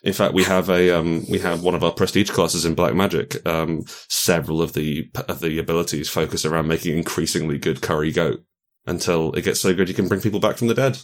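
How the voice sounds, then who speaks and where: A man's voice: low voice, steady tone, a little fast, hardly any pauses. A man chatting casually and jokily during a tabletop game session, often restarting his words. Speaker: guest game designer.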